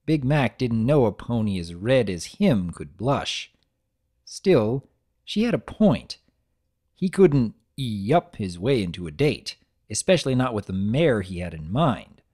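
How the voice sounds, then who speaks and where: A male narrator gives a dramatic story reading. His voice is medium in pitch and moves about animatedly through the scripted prose. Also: big pitch swings, measured pace, a little mellow.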